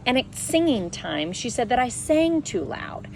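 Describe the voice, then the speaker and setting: A woman reading a children's picture book aloud in an expressive, animated way, stressing and drawing out key words. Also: fairly high pitch, background noise. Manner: animated, storytelling with emphatic stresses and drawn-out words. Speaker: read-aloud presenter.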